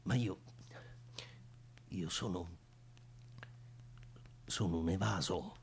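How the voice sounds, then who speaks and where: An actor playing a timid, nervous escaped convict chained to a bed, stammering through every sentence in a radio play. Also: male voice, low-pitched, extremely slow, gravelly, husky, exaggerated voice. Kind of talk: radio theatre acting.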